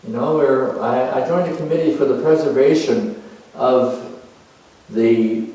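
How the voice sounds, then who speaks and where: Very echoey far-off recording, man performing as reflective artist, slow pace, halting musing monologue, expressive pauses. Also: mid-range voice, close to an everyday voice. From New Zealand. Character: creative, sensual, reflective middle-aged male sculptor, spiritual seeker. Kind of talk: dramatized first-person monologue before an audience or room. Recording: very echoey room, distant microphone.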